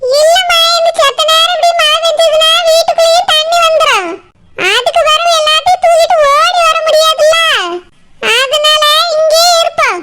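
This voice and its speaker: A woman voicing a pushy fish-seller mother in a comic cartoon, cheeky and pleading in Kanyakumari Tamil, with a pitched-up voice. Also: steady tone, normal speed. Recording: loud background noise, clicks.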